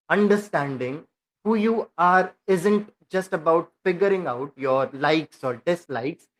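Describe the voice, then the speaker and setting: A man's voice: fairly high pitch, rough recording. A man hosting a podcast, speaking earnestly and eagerly, with repetitive phrasing as he introduces his guest. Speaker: podcast host.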